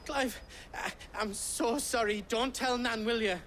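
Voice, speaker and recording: An actor playing an anxious, bumbling trader in a video game, with a Northern English accent and a flustered, stammering way of talking. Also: male voice, very high voice, steady tone, a little slow, fairly bright tone, slight background noise. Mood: distraught. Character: anxious, bumbling, soft-hearted young trader.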